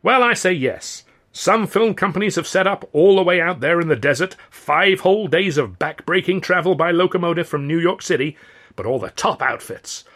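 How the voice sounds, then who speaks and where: A florid Southern US mayor, pitched up high and loud. He orates with beaming civic pride and boastful, rabble-rousing grandeur. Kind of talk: public speech in an audiobook.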